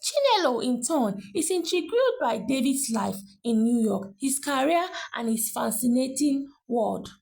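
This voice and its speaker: A woman narrates a scripted story in a deliberate, dramatic way, pausing between phrases. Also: sits high, animated, vocal fry, a little mellow.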